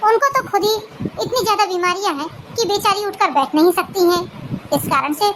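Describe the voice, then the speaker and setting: A woman's voice: very high-pitched, normal speed, background noise. A woman voicing an aggrieved older mother-in-law in a cartoon family drama, plaintive and self-justifying.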